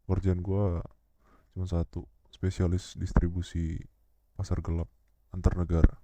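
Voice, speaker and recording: A man casually introducing his roleplay character with slangy, loud delivery. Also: deep voice, flat delivery, normal speed, heavy background noise.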